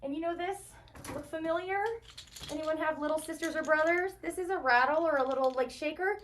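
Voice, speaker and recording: A woman's voice: high-pitched, brisk, fairly bright tone. A woman giving an educational tour of an animal shelter for children, explaining things simply and enthusiastically. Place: indoor animal shelter rooms. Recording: distant mic, faint background noise.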